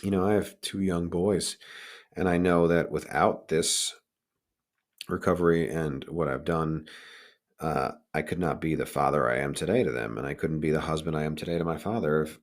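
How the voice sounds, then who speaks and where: A middle-aged man hosting a casual solo podcast, with a raspy, hoarse voice and a candid, halting, informal delivery. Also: rumbling low. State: hoarse, recovering from lost voice. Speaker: podcast host.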